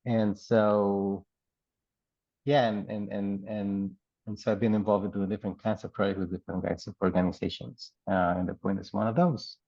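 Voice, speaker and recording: A middle-aged man from the Dominican Republic and Puerto Rico, an artist in residence, telling his life story in a relaxed, rambling way with many fillers and laughs. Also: on the low side, moderate pace, vocal fry, no echo, muffled recording. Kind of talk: oral history interview.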